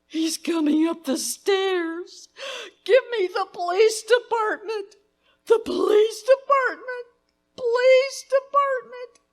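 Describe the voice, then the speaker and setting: A woman's voice: very high-pitched, takes it slowly. An actress playing a frightened woman alone in a house, pleading into the telephone in old-time radio style, frantic and sobbing. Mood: panicked. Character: terrified invalid woman alone on the phone. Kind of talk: 1940s radio acting. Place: live stage before a dinner crowd.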